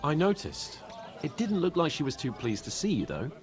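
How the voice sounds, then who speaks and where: An actor playing a pompous, excitable young nobleman in a video game, theatrical and quick to indignation. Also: on the high side. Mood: curious, teasing. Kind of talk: dramatic video game dialogue.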